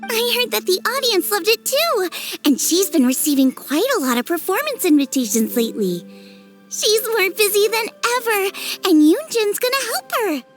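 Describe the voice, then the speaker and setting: A woman's voice: very high voice, big pitch swings, moderate pace, soft background music. A woman voicing a cheerful, modest teenage girl chef in a video game, chatty and friendly. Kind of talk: dubbed video game cutscene dialogue.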